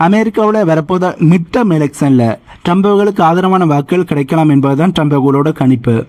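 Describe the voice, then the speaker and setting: Higher-pitched man narrating a news explainer. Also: mellow. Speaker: host of a news explainer channel.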